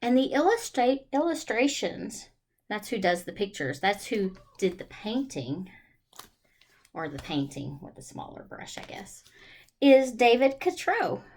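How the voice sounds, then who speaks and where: A woman hosting a storytime for young children, talking in an encouraging, exaggerated child-directed way with questions and pauses. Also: fairly high pitch, sing-song, a little mellow.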